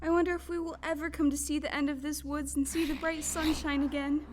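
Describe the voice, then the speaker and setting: A woman's voice: high voice, level tone, a little fast, noisy background, close to an everyday voice. A woman playing an earnest, anxious little farm girl in a storybook audio play. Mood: wistful.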